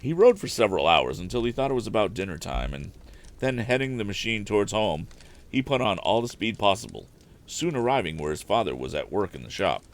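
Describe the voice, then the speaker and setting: A man with a very deep voice reads an old adventure novel as a live storyteller. His delivery is expressive, with pitch that moves around a lot, in the manner of an audiobook. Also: brisk. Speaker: storyteller, live stream host.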